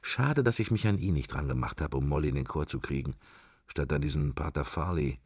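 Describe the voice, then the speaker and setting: A man's voice: a bass voice, expressive, fairly quick, a little mellow, dry recording, studio quality, heavily acted. An actor playing a wry, irreverent man musing to himself in clipped fragments, as inner monologue in a radio drama. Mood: wry, speculative. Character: wry, musing male sceptic, inner thoughts while observing church.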